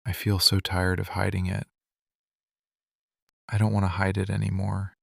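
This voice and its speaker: A man playing a weary, lovesick, possessive mafia boss in a scripted boyfriend ASMR roleplay, speaking intimately and deliberately in short phrases. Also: low-pitched, completely flat delivery, gravelly, fairly bright tone, no room sound, studio quality, exaggerated voice. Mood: weary confession.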